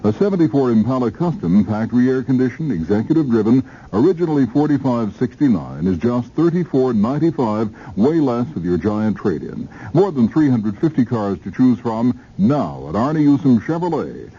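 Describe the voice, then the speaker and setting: A man's voice: medium pitch, sing-song, moderate pace, few pauses, warm tone, slightly rough. A man working as a station announcer, reading a 1970s radio car dealership advertisement. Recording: muffled vintage radio recording.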